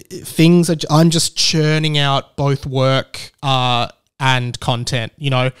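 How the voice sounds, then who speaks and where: A man's voice: mid-range voice, smooth. A man with an Australian accent hosting a podcast solo, talking casually in an enthusiastic, rambling way. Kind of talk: casual chatty monologue.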